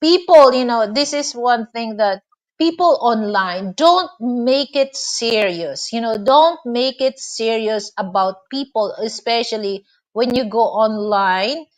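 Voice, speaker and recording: A woman's voice: a little high, lively intonation, slow pace. A middle-aged Filipino woman hosting a live online interview, rambling with many filler phrases and restarts. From Philippines. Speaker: host.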